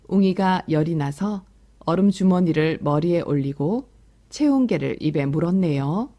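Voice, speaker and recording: Casting: female picture-book narrator for young kids, very mellow, slightly low voice, soothing child-directed commentary. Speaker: storytelling teacher for young children.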